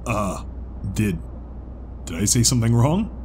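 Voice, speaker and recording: A man playing a bashful, gentle zebra stallion in an audio drama, speaking hesitantly and haltingly. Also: lowish voice, sing-song, slight background noise.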